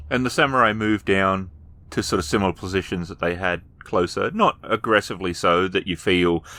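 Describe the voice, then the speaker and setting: A man narrating a tabletop game as game master, improvising descriptions in halting phrases. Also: deep voice, bright, forceful tone.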